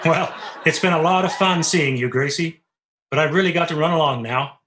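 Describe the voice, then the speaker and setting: A man's voice: fairly high pitch, a little fast, a bit bright, a little hiss or hum, natural voice. A man playing a breezy, smugly boastful former athlete and old boyfriend in a 1940s-style radio comedy.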